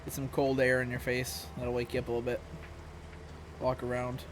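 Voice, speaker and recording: A young man with a hoarse, scratchy voice, chatting casually with viewers during a live stream at home. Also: mid-range voice, monotone, fairly quick. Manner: laid-back, chatty, talks to viewers. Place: room at home. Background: music playing.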